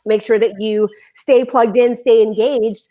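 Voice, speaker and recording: A woman hosting a live online talk show, bubbly and rambling, talking in quick bursts. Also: a little high, steady tone, mellow, a little hiss or hum, rough recording. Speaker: show host.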